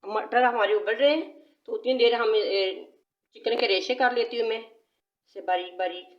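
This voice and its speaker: A woman's voice: on the high side, measured pace, dull audio. Woman with a sore throat, echoey kitchen. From Pakistan. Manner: patient, repetitive explaining. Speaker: cooking channel host. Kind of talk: step-by-step recipe instruction. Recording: echoey room.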